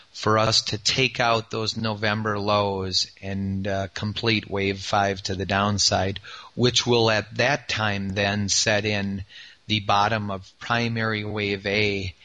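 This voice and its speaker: Hoarse, slow-talking man on markets. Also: fairly low pitch, bright, forceful tone. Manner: halting, many filler uhs. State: raspy voice after yelling. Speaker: market analyst co-host. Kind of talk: market analysis talk.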